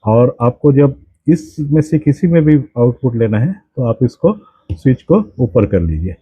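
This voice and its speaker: An Indian-accented man presents a hands-on product demonstration in a halting, explanatory way, often repeating himself. His pitch is average for a man. The sound is dry and close, with a dull lo-fi tone. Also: lively intonation, husky, no echo. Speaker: presenter of a radio hobby channel.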